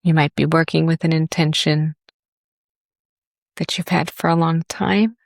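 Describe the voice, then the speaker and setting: A woman teaching a guided meditation and body scan in a gentle, unhurried manner with long pauses. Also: low-pitched, steady tone, vocal fry, no echo, clean studio sound.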